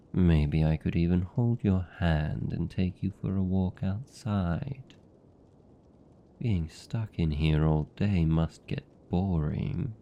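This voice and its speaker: A man's voice: low voice, creaky, warm tone, highs cut off, heavily acted. A British man playing a seductive, possessive vampire captor in an intimate fantasy ASMR roleplay, teasing and condescending in manner. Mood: coaxing, teasing. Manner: slow, teasing, condescending, velvety menace.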